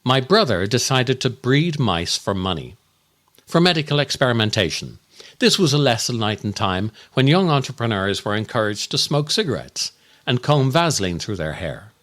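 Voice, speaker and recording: A man delivering a scripted comic monologue as a storyteller, with dramatic, deliberate and darkly comic delivery. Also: medium pitch, sing-song, fairly bright tone. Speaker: comedian and podcast host.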